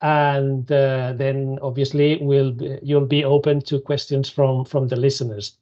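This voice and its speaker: Panel moderator, hesitant, filler words, mid pitch, dull lo-fi audio. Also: flat delivery, unhurried, warm tone. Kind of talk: hosting an online panel.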